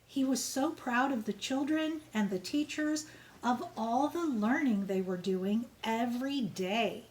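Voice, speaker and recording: A woman reading a children's storybook aloud in an expressive, measured way, as if to young pupils. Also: fairly high pitch, a little hiss or hum, poor audio.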